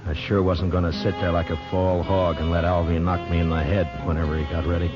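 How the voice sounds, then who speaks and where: Actor as deep, grim American frontier marshal. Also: male voice, level tone, brisk, natural voice. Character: steady, hard-bitten frontier marshal telling his story. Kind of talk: old-time radio Western narration and acting. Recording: dull old radio recording with music.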